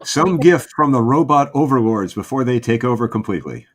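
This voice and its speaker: Man, mid pitch, casual podcast guest, wry and joking. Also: animated, a little fast. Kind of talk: casual group chat.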